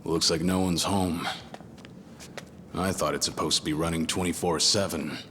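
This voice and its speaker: An actor playing a weary middle-aged fighter in a game cutscene, terse, measured and restrained. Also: male voice, sits low, fairly even intonation, a bit bright, slight background noise. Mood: stoic. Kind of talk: dramatic game cutscene acting. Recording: music behind the voice.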